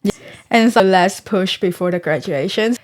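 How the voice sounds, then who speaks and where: Earnest young woman from Taiwan. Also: mid-range voice. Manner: thoughtful, earnest, pauses to find words. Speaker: guest researcher. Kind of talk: conversational interview.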